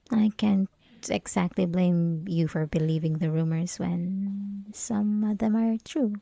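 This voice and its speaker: Yandere student played by a young woman: teasing, coy, sweetly possessive, slow breathy delivery with some vocal fry, intimate ASMR roleplay. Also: mid-range voice, no room sound. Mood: coy, confessional. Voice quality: soft, breathy, often whispering. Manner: teasing, coy, giggly, lingering pauses. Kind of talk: ASMR roleplay, intimate one-sided conversation. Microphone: close ASMR microphone, dry.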